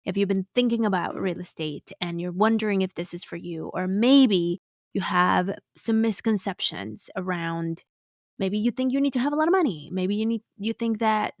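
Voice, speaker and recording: A woman's voice: mid-range voice, dry recording, studio quality. A woman hosting an interview podcast, speaking English with some Spanish phrases mixed in. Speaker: podcast host.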